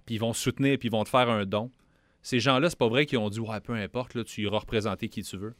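A man's voice: lowish voice, steady tone, fast, a bit bright, no echo. A young man with a Quebec French accent, a member of parliament talking earnestly in a radio interview, often restarting his words.

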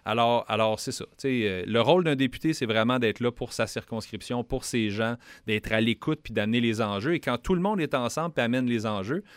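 A man's voice: medium pitch, a little fast, few pauses, clear, resonant tone, no room sound. A man with a Quebec French accent, a member of parliament giving a casual radio interview, earnest and often repeating words as he starts sentences. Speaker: federal member of parliament, guest.

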